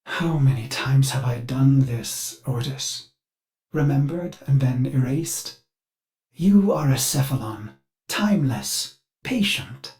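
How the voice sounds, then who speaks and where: A man's voice: medium pitch, lively intonation, slow, darkish tone. A man playing an anxious, devoted ship's artificial intelligence in a video game, with a formal, fussy, theatrical delivery. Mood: anguished, brooding. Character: anxious, devoted male ship AI with existential dread. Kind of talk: scripted video game character dialogue.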